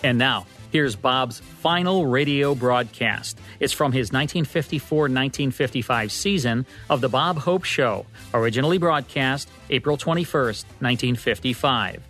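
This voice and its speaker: Male American radio host, medium register, delivering scripted narration over soft background music. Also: medium pitch, moderate pace, few pauses.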